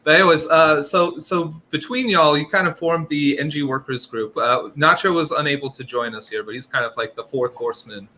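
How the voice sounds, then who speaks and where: A man's voice: fairly high pitch, brisk, hardly any pauses, sharp tone. A man hosting a casual tech podcast, upbeat and friendly, saying "y'all". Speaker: podcast host.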